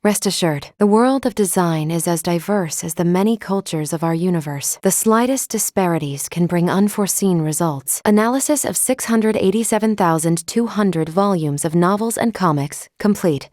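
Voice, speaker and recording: A woman voicing a courteous, analytical female android companion in a video game, speaking in a formal, measured, precisely worded way. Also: on the low side, darkish tone, dry recording, clean studio sound, lightly acted. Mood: calm, precise.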